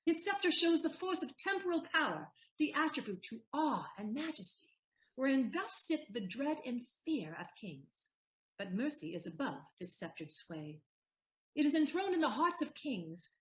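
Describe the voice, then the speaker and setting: An actress as an eloquent woman lawyer in a classical audio drama. Her fairly high voice moves widely in pitch, formal, composed and declamatory. The room echoes around her. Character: composed, eloquent young-middle-aged heroine posing as lawyer. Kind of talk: audio play Shakespeare acting. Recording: roomy, echoing recording.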